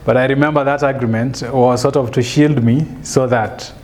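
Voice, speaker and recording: A man in his thirties with a Kenyan accent, a guest in a studio interview, telling stories in a measured way with fillers and restarts, on a lapel mic. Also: medium pitch, steady tone, darkish tone. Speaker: guest, author and business speaker. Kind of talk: storytelling interview answer. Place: studio set, seated in an armchair.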